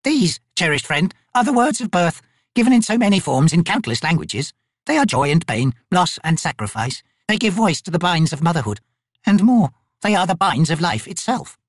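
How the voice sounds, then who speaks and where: Brief: narrator as ancient she-raven, stubborn and tender, slow soothing delivery, close dry sound. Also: fairly low pitch, sing-song, breathy edge, no echo, voice pushed up. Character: great old female raven spirit, tender and stubborn.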